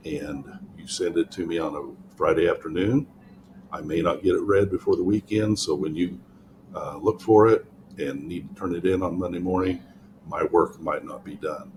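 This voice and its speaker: An older man, a college writing tutor, walks through an online tutoring tool from his office, explaining in a relaxed way with frequent ums. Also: low voice, moderate pace, noisy background. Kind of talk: screen-share tutorial walkthrough. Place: office, indoors.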